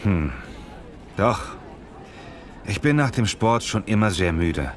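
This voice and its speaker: Mann spielt tiefer klingender, muskelstolzer Deutschlerner, draußen mit Straßengeräuschen. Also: male voice, lowish voice, animated, slow pace, slight background noise. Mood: casual, self-satisfied. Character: lazy, muscle-proud male German learner from Italy. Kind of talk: scripted language-lesson dialogue.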